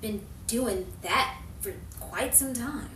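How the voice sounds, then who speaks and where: A woman's voice: a little high, takes it slowly, fairly bright tone. A woman acting a jaded, self-mocking single woman in a 1950s Hollywood-style audio drama, world-weary and sarcastic. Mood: cynical. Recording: roomy, distant mic, faint background noise.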